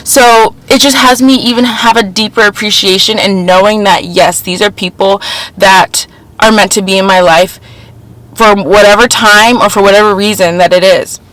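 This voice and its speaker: A woman's voice: mid-range voice, steady tone, normal speed, a bit bright, dry recording, poor audio. Earnest congested young woman, dry sound. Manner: earnest, repeats phrases, spiritual wording. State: congested, a little nasal. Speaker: podcast host. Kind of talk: casual spiritual self-help chat.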